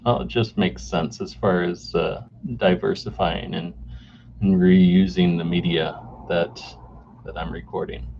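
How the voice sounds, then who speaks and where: A man in his forties hosting a solo podcast off the cuff, halting with many fillers and restarts, recorded on a camera mic indoors. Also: low voice, fairly even intonation, vocal fry, a little mellow. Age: 40s. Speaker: podcast host. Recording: dull lo-fi camera mic sound with faint noise.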